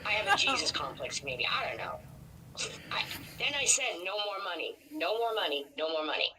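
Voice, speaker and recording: A woman with a raspy voice venting casually in a blunt, profane, self-deprecating way. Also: lowish voice, very animated, fairly quick, noisy background.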